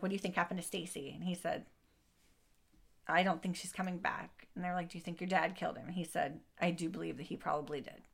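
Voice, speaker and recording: A woman's voice: fairly low pitch, gravelly, a bit bright. A woman co-hosting a casual true crime podcast, retelling the case to a friend, acting out quoted speech and swearing freely. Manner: storytelling, acts out quotes, swears. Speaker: podcast co-host.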